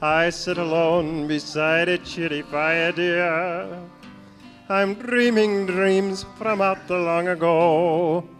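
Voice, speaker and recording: A man's voice: high voice, steady tone, takes it slowly, fairly bright tone, soft background music. A man playing an earnest, lovestruck sergeant, hammy and courtly, in a live comedy radio show. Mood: romantic. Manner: hammy, courtly, ends phrases with "eh". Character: earnest, lovestruck male Canadian Mountie sergeant.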